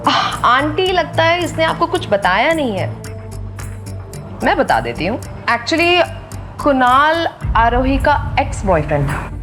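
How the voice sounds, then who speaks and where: A smug, spoiled young woman in an audio drama. She is very high and animated, catty and mock-sweet with English tossed in, over clearly audible music. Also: unhurried, long pauses. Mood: smug, gossipy. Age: young adult. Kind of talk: Hindi audio drama acting.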